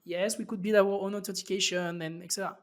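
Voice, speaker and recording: A man with a French accent and a slightly raised pitch speaks as a podcast guest. He talks loosely, full of fillers and restarts as he explains his thinking. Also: steady tone, fast. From France. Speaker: startup founder guest. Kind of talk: casual interview talk.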